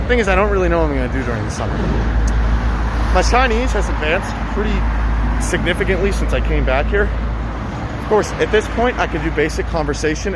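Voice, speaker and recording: A young man chatting casually while walking city streets and vlogging, rambling and swearing now and then. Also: on the high side. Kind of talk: casual walking vlog talk. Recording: street noise behind.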